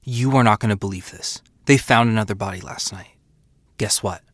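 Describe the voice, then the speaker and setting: A man's voice: fairly low pitch, normal speed, a bit bright, dry recording, clean studio sound. An actor playing a keen police detective in his thirties in a crime audio drama. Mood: intrigued.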